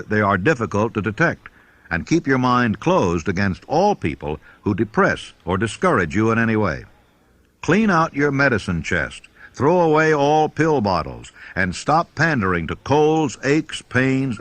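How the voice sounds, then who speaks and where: A man narrating a self-help audiobook, giving measured, instructive readings of scripted text. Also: mid-range voice, fairly bright tone, no echo. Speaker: audiobook reader.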